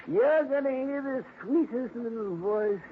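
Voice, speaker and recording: An actor playing a fussy, excitable man in a 1950s radio drama. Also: extremely high pitch, unhurried, a little hiss or hum. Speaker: dog owner reporting a theft. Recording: tinny 1950s radio broadcast.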